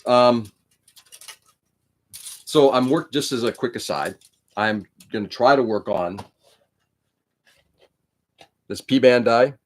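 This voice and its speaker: A man's voice: mid-range voice, slow pace, pauses often. A man whose first language is Greek, hosting a casual livestream from a room on his laptop, rambling and blunt with frequent swearing. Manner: rambling, profane, opinionated. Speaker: livestream host building model kits. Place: indoor room.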